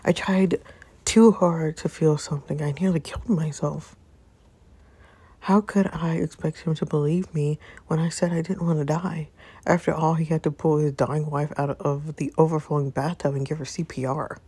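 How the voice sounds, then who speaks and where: A woman voicing a grieving young wife in first-person story narration, halting, hushed and anguished. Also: deep voice, fairly even intonation, breathy edge, dry recording. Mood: numb regret. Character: grieving young wife confessing pain and regret.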